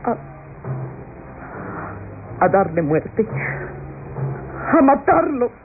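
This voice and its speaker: An actress playing a scheming young niece who pleads in a tremulous, melodramatic radio soap manner. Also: female voice, on the high side, big pitch swings, very slow, noisy background, heavily acted. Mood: fearful, tearful confession. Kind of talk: classic Latin American radio soap acting. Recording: tinny old radio recording with music.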